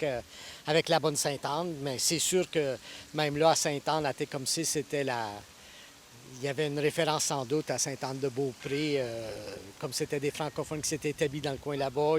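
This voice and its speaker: A priest in his sixties from Ontario answers questions at an easy, reflective pace, often pausing with "euh" and restarting phrases. His voice sits fairly high for a man. A faint hiss runs under the recording. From Ontario, Canada. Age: 60s. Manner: thoughtful, with frequent hesitations and restarts. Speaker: priest and guest preacher. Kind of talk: interview answers.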